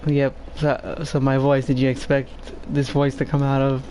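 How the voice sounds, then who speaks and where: Shy, low-voiced man, flat and hesitant. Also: normal speed, a little hiss or hum, low-quality recording. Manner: soft-spoken, shy and hesitant, many fillers. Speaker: streamer. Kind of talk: casual gameplay stream chat. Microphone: home desk microphone.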